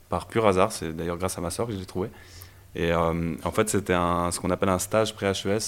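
A young man from Belgium, a startup founder telling his story in a casual interview, relaxed and hesitant with many fillers. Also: deep voice. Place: startup incubator office.

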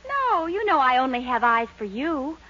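Muffled vintage radio comedy, young girlfriend, very high pitch, lively swoops, coy, teasing, emphatic. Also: normal speed, exaggerated voice. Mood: affectionate. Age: young adult. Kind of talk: 1940s radio sitcom acting. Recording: muffled 1940s radio recording, faint noise.

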